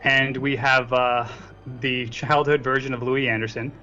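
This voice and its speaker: A man's voice: mid-range voice, little pitch movement, unhurried, sharp tone, soft background music. A man chatting casually as a guest on a podcast. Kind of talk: casual chat. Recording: slightly dull lo-fi mic sound.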